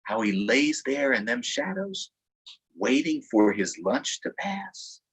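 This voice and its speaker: A man voicing an excitable, folksy swamp boat guide in a storybook, wary of a gator and speaking with exaggerated delivery. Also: mid-range voice, takes it slowly, husky, voice pushed down, heavily acted, low-quality recording. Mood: hushed excitement.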